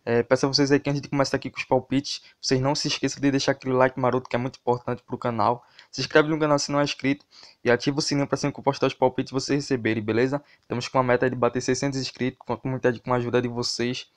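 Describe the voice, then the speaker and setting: A Brazilian man speaks in a mid-range voice with very little melody, keeping an even line as he goes. He sounds like an informal online host giving sports tips, addressing his viewers directly and casually. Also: mid-range voice, racing. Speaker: host of a football betting tips channel. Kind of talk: casual sports tips commentary over a screen recording.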